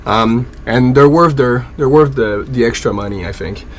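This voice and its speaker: A man's voice: medium pitch, normal speed. A man whose first language is French, casually explaining a bilge pump install step by step on his sailboat. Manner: relaxed, jokey, explains step by step. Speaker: boat owner and vlogger. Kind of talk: casual how-to talk while working. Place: on a sailboat, working in tight bilge area.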